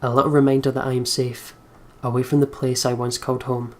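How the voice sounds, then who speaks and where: Guy narrating a visual novel for his let's play, medium pitch, storyteller delivery. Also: flat delivery, fairly quick, darkish tone.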